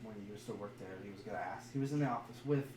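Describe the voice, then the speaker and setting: A man's voice: fairly low pitch, racing, darkish tone. A man co-hosting a podcast, talking casually while he runs a mystery game. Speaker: podcast co-host. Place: echoey room, live streamed podcast. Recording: echoey room, distant mic, faint noise.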